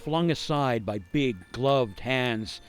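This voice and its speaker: An actor playing a haunted gingerbread cookie who tells a grim cautionary tale in a suspenseful, theatrical radio monologue with deliberate pauses. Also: male voice, mid-range voice, smooth, faint music behind, natural voice. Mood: hushed suspense. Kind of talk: dramatic monologue for radio storytelling.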